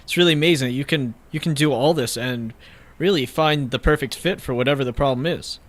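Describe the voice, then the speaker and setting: A man's voice: medium pitch, brisk. A man hosting a radio interview, enthusiastic and admiring in his questions. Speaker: radio host.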